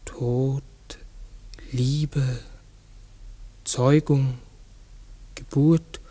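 An actor playing a pensive, courteous, human-sized talking raven in a 1960s German radio play, speaking hesitantly and formally. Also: male voice, medium pitch, flat delivery, extremely slow, dark tone, husky.

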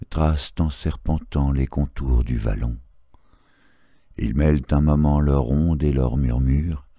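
Very deep, dark-voiced man reciting poetry. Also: no room sound, studio quality. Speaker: poetry reader. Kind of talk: solemn literary poetry recitation.

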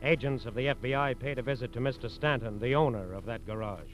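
An American man giving grave, deliberate scripted narration for a 1940s radio crime drama. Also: medium pitch. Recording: very muffled vintage radio recording. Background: music.

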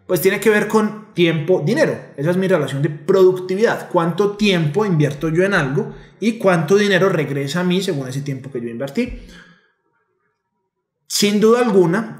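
A man's voice: fairly high pitch, echoey room. A man in his thirties explaining money to camera in an emphatic, explanatory way, often checking in with rhetorical questions, at a desk microphone in a home office. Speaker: investor and community director. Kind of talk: direct-to-camera finance lesson. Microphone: desk microphone in front.

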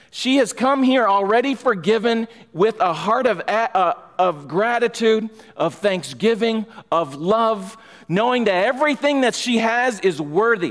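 A man's voice: high voice, a bit bright. A middle-aged man preaching an expository sermon from a pulpit microphone, emphatic and building in fervor. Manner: emphatic, rising in fervor, gestures while preaching. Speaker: pastor. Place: church sanctuary, large room indoors. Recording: long hall reverb.

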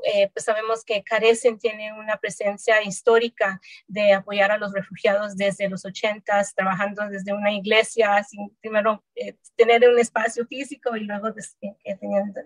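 A woman's voice: medium pitch, dry recording, poor audio. A woman in her thirties, born in El Salvador, answering interview questions on a video call in a thoughtful way, with many hesitations and restarts. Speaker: researcher guest. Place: home room.

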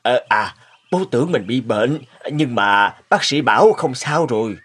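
A man playing a good-hearted, worried elderly grandfather in a cartoon, speaking earnestly and haltingly. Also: mid-range voice, lively intonation, fairly bright tone, husky. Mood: sheepish, hesitant. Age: older. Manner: halting, earnest, swooping intonation. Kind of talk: cartoon dialogue acting.

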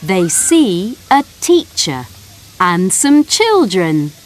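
A woman narrating a simple storybook for small children, reading slowly and clearly in a sing-song way. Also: mid-range voice, big pitch swings, slight background noise.

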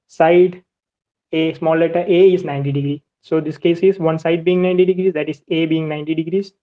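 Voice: mid-range voice, lo-fi sound. A maritime navigation teacher giving a screen-recorded whiteboard lesson, explaining step by step and repeating points often. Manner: methodical, step-by-step explaining with much repetition.

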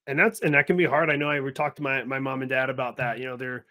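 A young man hosting a podcast over a video call, chatting casually and telling stories with lively acted-out voices. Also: mid-range voice, fast. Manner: animated storyteller, acts out voices in anecdotes. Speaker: podcast host. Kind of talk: casual interview chat. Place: home or office, video call.

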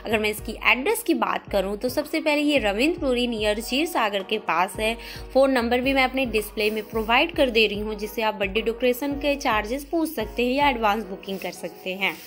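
A woman's voice: on the high side, fairly quick, a bit bright, soft background music. A young woman reviewing a restaurant in a chatty voice-over, mixing English words into her Hindi. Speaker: food vlogger.

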